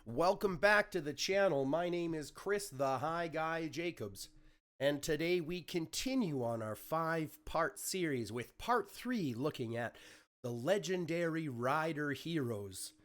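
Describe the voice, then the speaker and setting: Slightly raspy man talking through a game. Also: on the high side, animated, unhurried, a bit bright. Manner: chatty, frequent fillers and self-corrections. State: recovering from illness. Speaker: gaming YouTuber. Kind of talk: casual game guide commentary.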